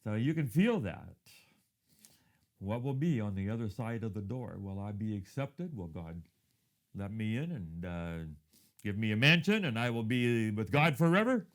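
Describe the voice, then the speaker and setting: Soft-spoken man in his fifties telling a story. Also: fairly low pitch, very animated, normal speed. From Thailand. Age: 50s. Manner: reflective storytelling, often drops to a hushed tone. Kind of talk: devotional talk with personal story.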